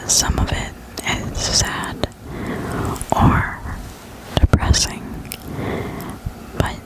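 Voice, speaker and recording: Casting: soft ASMR reader, breathy whisper throughout, unhurried and soothing delivery, close on the mic. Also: rough recording. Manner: slow, gentle, soothing. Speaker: host of an ASMR reading channel. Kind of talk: whispered ASMR, poetry reading. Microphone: close desktop condenser microphone.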